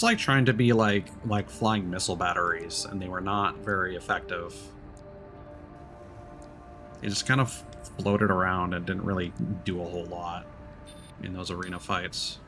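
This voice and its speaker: A man's voice: fairly low pitch, a bit bright. A man doing casual live game-stream commentary, thinking aloud in a rambling way.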